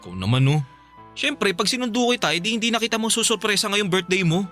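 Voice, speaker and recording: A man's voice: sits high, expressive, fast talker, sharp tone, soft background music. A man acting a playful, doting boyfriend in a radio drama, coaxing, with a Filipino accent.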